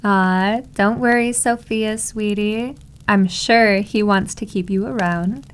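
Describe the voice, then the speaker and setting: An unhurried, medium-pitched voice from a woman acting as a possessive yandere maid in an ASMR roleplay. She coos her endearments slowly and sweetly, teasing and doting with a controlling streak. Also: smooth, lightly acted. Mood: reassuring, sultry. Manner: cooing, sugary, drawn-out endearments.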